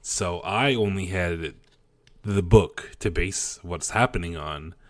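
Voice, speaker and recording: Male podcast co-host, deep voice, dry close sound, chatty and excitable. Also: slow pace, dry recording. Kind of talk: casual chat.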